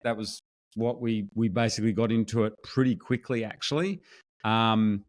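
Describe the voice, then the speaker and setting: A man's voice: on the low side, normal speed. Easygoing Australian man in his fifties. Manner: friendly, relaxed storytelling. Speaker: podcast guest. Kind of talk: casual interview chat.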